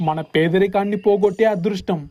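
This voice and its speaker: Woman as a dark-voiced, headstrong young fisherman. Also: mid-range voice, fairly even intonation, a little fast, lo-fi sound, close to an everyday voice. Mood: defiant greedy. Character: defiant, money-hungry elder brother, poor young fisherman. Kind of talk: animated moral story dialogue.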